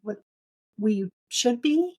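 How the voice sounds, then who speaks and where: A woman's voice: fairly high pitch, animated, slow, a little mellow, no room sound. Woman chatting casually, close dry sound. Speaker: book podcast co-host. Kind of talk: casual book discussion.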